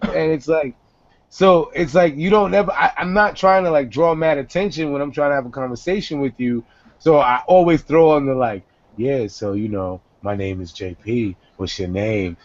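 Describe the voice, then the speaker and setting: A young man, hosting a casual video-call chat, animated and profane, swinging between a low voice and a high one. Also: normal speed, no room sound, dull audio. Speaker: host. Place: small home room indoors. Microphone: headset on a video call.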